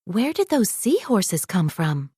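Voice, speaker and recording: Kind storybook mother, voiced by a woman, unhurried. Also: medium pitch, very animated, warm tone, slightly rough, no echo, studio quality, full character voice. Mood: puzzled. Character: kind, reassuring royal mother.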